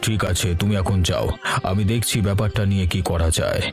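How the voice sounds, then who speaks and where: A man with a West Bengal accent voicing a scheming, domineering village headman in a cartoon, speaking in a measured, condescending way. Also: low voice, steady tone, over music. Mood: evasive. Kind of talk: cartoon voice acting.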